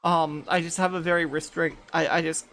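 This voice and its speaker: A woman in her thirties in casual group chat, light voice without chest resonance, talking haltingly with many restarts. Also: low-pitched, little pitch movement, fairly bright tone, slight background noise, rough recording. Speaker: podcast panelist.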